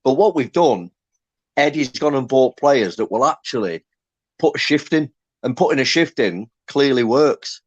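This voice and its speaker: A man's voice: medium pitch, lively intonation, moderate pace, no room sound. Matey english fan host, talks like he's down the pub, dull dry sound. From Lancaster, England. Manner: chatty, matey, enthusiastic. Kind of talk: casual fan chat.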